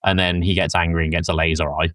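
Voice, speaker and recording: A man with a fairly low voice talks on a podcast in a very dry-sounding space. He recaps a film casually, rambling and stopping to search for words, and he swears freely. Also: little pitch movement, a bit bright, no echo. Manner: rambling and halting, frequent swearing. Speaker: podcast host.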